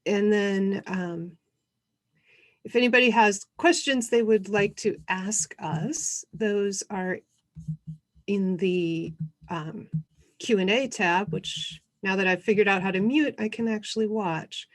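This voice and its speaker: A woman co-hosting an online session, introducing things in an informal, slightly halting way. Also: medium pitch. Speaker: co-host presenting an online convention session.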